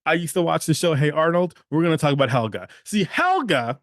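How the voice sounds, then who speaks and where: A man's voice: fairly high pitch, sing-song, brisk, no room sound. A male podcast host delivers a motivational monologue in a slightly raised voice. He talks emphatically, repeats phrases for weight and keeps checking in with "okay?". The recording is dry and close.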